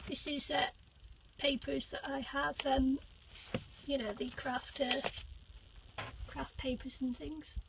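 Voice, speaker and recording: An older woman with a squeaky voice giving a rambling, hesitant craft room tour from a small boat cabin, filming on a handheld phone. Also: little pitch movement, slow pace, creaky, long pauses, highs cut off, noisy background. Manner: rambling, hesitant with many fillers, giggly. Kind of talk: casual live-stream tour.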